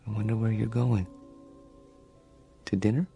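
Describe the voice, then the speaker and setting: An actor playing a meek, awkward grown son in a radio drama, stammering politely, a lonely and secretive dreamer. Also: male voice, on the low side, steady tone, unhurried, dark tone. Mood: tender, wistful. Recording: music bed under the dialogue.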